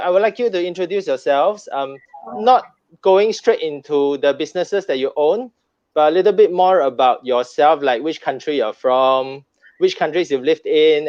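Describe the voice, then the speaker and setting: A man with a slightly high voice hosts a panel discussion. He moderates hesitantly, restarting and repeating words as he goes. The sound is dry and a little muffled. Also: darkish tone, dry recording. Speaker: host. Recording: dull lo-fi sound.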